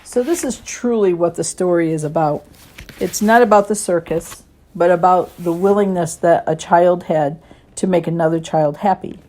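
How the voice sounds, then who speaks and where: A woman reading a children's story and gentle lesson expressively, as a Sunday school teacher does. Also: fairly low pitch, moderate pace, rough recording.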